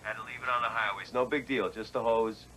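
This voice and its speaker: An actor playing a guarded stunt driver in a TV-movie drama, terse and low-key. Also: male voice, steady tone, fast, sharp tone, rough recording. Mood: evasive. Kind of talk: naturalistic TV-movie drama acting. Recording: film dialogue with background vehicle noise.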